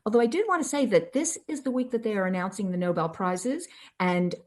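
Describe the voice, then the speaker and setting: A woman's voice: medium pitch, brisk, a little mellow. A woman co-hosting an online event, giving conversational introductory remarks over a video call. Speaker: event co-host.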